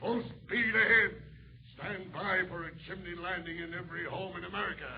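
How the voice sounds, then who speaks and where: A man's voice: high-pitched, steady tone, normal speed, gravelly. A man playing a jolly old Santa announcing like a pilot in 1940s radio drama style. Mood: jolly, proclaiming. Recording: muffled 1940s radio with faint noise.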